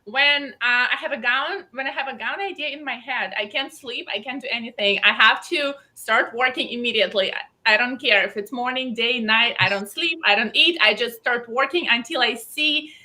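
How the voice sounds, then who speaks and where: A woman's voice: fairly high pitch, moderate pace, few pauses, sharp tone, low-quality recording. A woman from Belarus with a Belarusian accent, a gown designer talking eagerly and emphatically as an interview guest. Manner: enthusiastic, emphatic repetitions. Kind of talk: casual interview talk.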